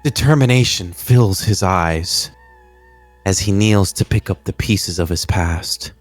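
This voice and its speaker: Deep-voiced man in solemn dramatic narration. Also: soft background music. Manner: theatrical, solemn, drawn-out phrases. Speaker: narrator reading a movie script. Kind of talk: dramatic scripted screenplay narration.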